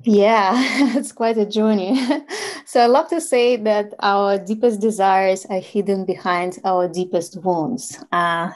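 A woman from Ukraine with a Ukrainian accent, speaking as a podcast guest in a halting, conversational storytelling way. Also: mid-range voice, fairly even intonation, darkish tone.

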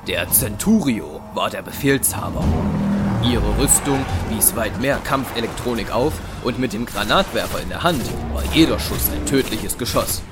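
Casting: male narrator, lower-pitched, scripted dramatic storytelling for sci-fi action audio drama, prominent music bed. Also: expressive, moderate pace.

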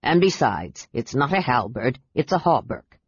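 A woman acts out an old male dwarf from a fantasy audiobook. The voice sits very low and has a husky grain. He is stubborn, grumbling and quick to take offense. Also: sing-song, dry recording, lo-fi sound, full character voice. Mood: grumpy. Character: gruff old male dwarf, irascible and headstrong.

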